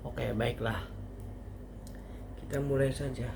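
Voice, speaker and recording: A man giving an informal language lesson as a teacher, explaining haltingly step by step with casual asides. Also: mid-range voice, steady tone, creaky. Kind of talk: informal online grammar lesson. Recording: faint background noise.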